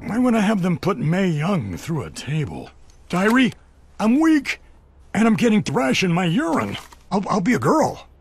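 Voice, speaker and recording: A man's voice: sits high, wildly swooping pitch, normal speed, a little hiss or hum, heavily acted. A man voicing a scheming, vain middle-aged businessman in a cartoon, theatrical, ranting and self-pitying. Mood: whiny, desperate. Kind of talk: exaggerated cartoon acting.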